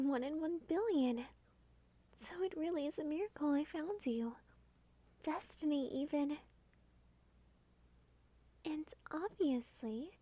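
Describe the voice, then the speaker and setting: A woman playing a starved, doting yet domineering female vampire in an intimate ASMR roleplay, teasing and often slipping into breathy whispers. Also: sits high, warm tone, dry recording. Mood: blissful, explaining. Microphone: close studio microphone.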